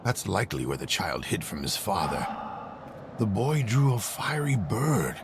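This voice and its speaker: A man voicing a pensive sage sorcerer who muses deliberately aloud as he investigates a mystery in a video game. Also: on the low side, animated, background noise. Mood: intrigued. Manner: musing, deliberate.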